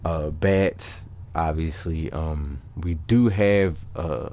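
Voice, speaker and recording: A man's voice: rumbling low, takes it slowly, mellow, slight background noise. A man hosting a gaming video, talking through a character build in an informal, conversational and somewhat hesitant way. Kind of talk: casual game build commentary. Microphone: voice-over on a microphone over game capture.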